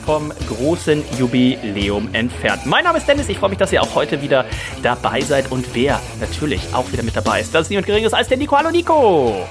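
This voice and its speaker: A man co-hosting a podcast, chatting casually and telling stories in a lively way. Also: mid-range voice, brisk, over music. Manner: chatty, storytelling. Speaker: podcast co-host. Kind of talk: casual chat. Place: hotel room abroad.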